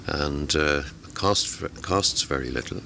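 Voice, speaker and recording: A middle-aged man tutoring amateur radio speaks in a very low, gravelly voice. He talks haltingly, filling gaps with "uh" and repeating words. A faint hiss sits behind him. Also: pushed and bright. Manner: hesitant, many fillers and repeated words. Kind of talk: conversational interview. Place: radio convention.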